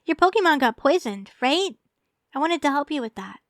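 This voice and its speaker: Casting: actress as sweetly obsessive young female champion for ASMR roleplay; high voice, coaxing and teasing, close dry sound. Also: sing-song, moderate pace, no room sound. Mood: eager, inviting. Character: tall, doting, teasing young woman Pokemon champion, secretly obsessive.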